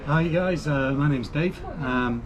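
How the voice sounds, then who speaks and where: A man's voice: fairly high pitch, slow pace, a crowd in the background. A man in his sixties from Scotland with a Scottish accent, a retired dentist turned skipper, talking hesitantly with many fillers. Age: 60s. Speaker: powerboat skipper.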